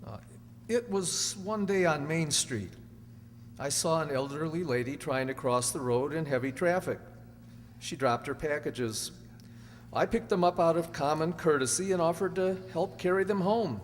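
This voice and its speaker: A man's voice: a little high, moderate pace, a little hiss or hum, lightly acted. A man playing an anxious young murder suspect, earnest and faltering, in an old radio drama with a reverberant, hummy sound. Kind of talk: 1940s radio drama acting.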